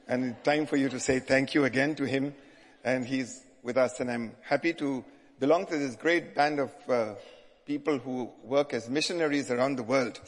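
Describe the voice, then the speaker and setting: Older Indian-accented bishop, halting delivery, in a reverberant hall. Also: male voice, mid-range voice. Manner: hesitant, frequent restarts. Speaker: visiting bishop. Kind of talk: sermon-style address.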